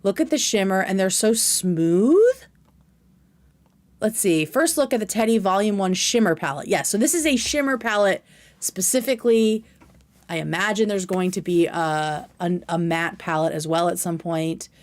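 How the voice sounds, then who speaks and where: A woman's voice: medium pitch, expressive, moderate pace. Effusive, slangy woman chatting on livestream. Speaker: livestream host reacting to a video. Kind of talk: casual livestream chat with commentary.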